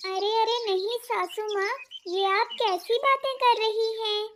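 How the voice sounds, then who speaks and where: A woman's voice: extremely high pitch, measured pace, a bit bright, a little hiss or hum. A woman voicing a jealous young butterfly sister in a children's cartoon, with a plaintive, dramatic manner. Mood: shocked, pleading. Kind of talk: Hindi children's cartoon dialogue.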